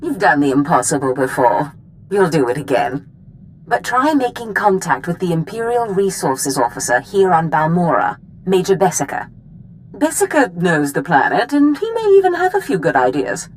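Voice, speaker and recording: A female Sith master in a video game. Her voice is very deep for a woman and lively in pitch. She is commanding and scheming, over faint background noise. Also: low-quality recording. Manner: authoritative, deliberate. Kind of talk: video game cutscene acting.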